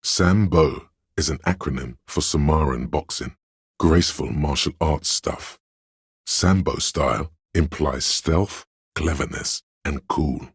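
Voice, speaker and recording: A very deep, gravelly know-it-all inner voice. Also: male voice, takes it slowly, no room sound, clean studio sound, exaggerated voice. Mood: lecturing, matter-of-fact. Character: pedantic know-it-all inner voice, a walking encyclopedia of trivia. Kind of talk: dramatic game narration.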